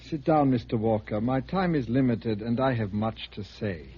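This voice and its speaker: A man playing a calm, condescending alien emissary with formal, measured speech in a 1950s American radio drama. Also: mid-range voice, close to an everyday voice. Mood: calm, superior. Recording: muffled, noisy vintage 1950s radio recording.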